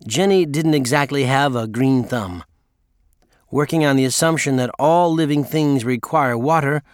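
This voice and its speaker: A man's voice: mid-range voice, dry recording, clean studio sound. A man narrating a memoir audiobook in a scripted first-person storytelling style.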